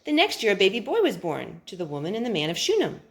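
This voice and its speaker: A woman reading a Bible story aloud to children, with expressive, deliberate phrasing and drawn-out words. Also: mid-range voice, slight background noise.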